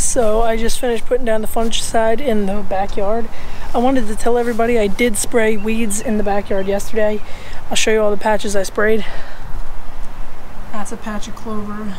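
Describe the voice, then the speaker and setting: A man's voice: sits high, slight background noise. Young male lawn-care vlogger, rambling and chatty, recorded outdoors on an arm's-length action camera with faint open-air background. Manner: chatty, rambling, restarts and trails off. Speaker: lawn care channel host. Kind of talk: casual outdoor vlog narration. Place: outdoors in a grassy townhouse backyard.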